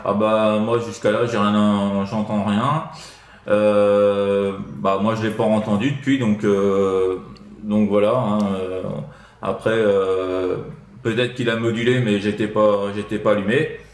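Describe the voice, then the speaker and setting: A man's voice: lowish voice, flat delivery, takes it slowly, reverberant. A man chatting casually as a radio operator, hesitant and repetitive, often tagging his phrases with small filler words.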